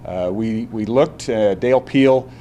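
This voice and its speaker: A man giving a measured, somewhat formal and hesitant explanation in an interview, as a club representative. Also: on the low side, dark tone, a little hiss or hum. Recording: faint music under the voice.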